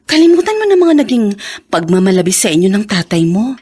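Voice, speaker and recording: A woman playing a gently admonishing aunt in a Filipino radio drama, giving earnest counsel. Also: medium pitch, very animated, fast, husky.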